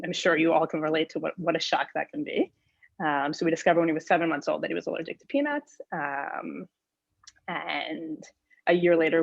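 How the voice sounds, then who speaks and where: A woman in her thirties from New York talks through her story in a chatty way, with plenty of ums. Her voice is very low and gravelly. The sound is dry and close but dull, like a video call. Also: dry recording. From New York, USA. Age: 30s. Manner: chatty, with many ums and likes. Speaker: presenter and nonprofit volunteer. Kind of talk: personal presentation.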